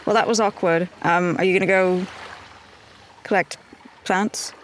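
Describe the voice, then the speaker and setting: A woman's voice: lowish voice, fairly even intonation, unhurried, slight background noise. A woman playing a tabletop roleplaying game, talking casually in character as in everyday conversation.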